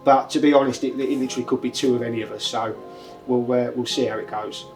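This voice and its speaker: A man's voice: mid-range voice, steady tone, quick pace, a little mellow. A man from Lincolnshire answering interview questions, somewhat hesitant, with fillers. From Lincolnshire, England. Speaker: angler competitor. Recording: faint music behind.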